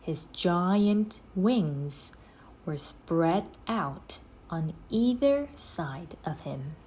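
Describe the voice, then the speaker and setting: A woman reading a children's story aloud in an expressive, scripted storytelling style. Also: lowish voice, very slow, slight background noise.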